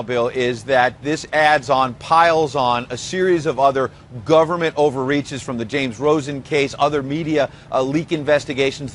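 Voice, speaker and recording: A man's voice: a little high, fairly bright tone. A man with an American accent giving an explanatory live news report as a political correspondent. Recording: background noise on live location feed.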